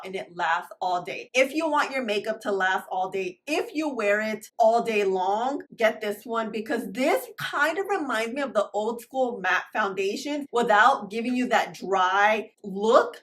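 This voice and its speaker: A woman beauty vlogger with a hoarse, slightly raspy voice from being under the weather, chatting upbeat through a makeup review and dropping in Spanish words. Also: mid-range voice, a bit bright. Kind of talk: casual makeup review to camera.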